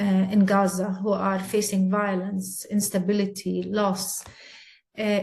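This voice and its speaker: Middle-aged Palestinian-accented woman, slow careful delivery, webinar guest. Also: medium pitch. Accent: Palestinian Arabic. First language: Arabic. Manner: slow, halting, many fillers. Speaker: guest speaker, mother. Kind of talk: webinar testimony.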